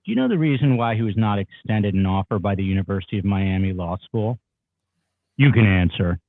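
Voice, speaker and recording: A man acts as a businesslike male attorney at a deposition. He sounds thin and phone-like, with a dry, close sound. His pitch is slightly low and his manner formal. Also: expressive, a little fast, no echo. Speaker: reader playing an attorney. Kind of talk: dramatic reading of a deposition. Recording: dull, phone-like, band-limited line.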